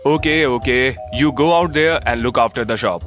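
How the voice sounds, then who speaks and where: A man's voice: medium pitch, moderate pace, fairly bright tone, soft background music, natural voice. A man playing a miserly, cheating village shopkeeper who bosses people around in a children's cartoon. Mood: bossy. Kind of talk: animated children's story acting.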